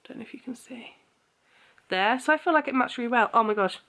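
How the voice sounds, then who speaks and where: A woman's voice: a little high, fast, a bit bright. A young woman, chatting casually to camera at home, rambling with lots of ums and likes, still a bit sniffly. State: a bit sniffly after losing her voice. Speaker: vlogger. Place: bedroom and small kitchen at home. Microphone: camera held close at arm's length.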